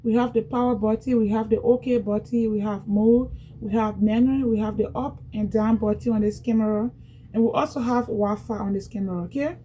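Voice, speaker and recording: Liberian woman, chatty vlogger, slightly high, mellow tone, faint background hiss. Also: steady tone, a little fast, rough recording. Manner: chatty, repetitive, talks straight to viewers. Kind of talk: casual product unboxing vlog.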